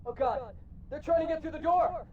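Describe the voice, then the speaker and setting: Panicking game tech, yelling super high, far off with commotion behind. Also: male voice, normal speed, echoey room, narrow, tinny audio. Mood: terrified. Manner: panicky, shouting. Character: terrified cryo-bay technician crying out. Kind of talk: video game voice acting. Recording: distant voice amid loud commotion.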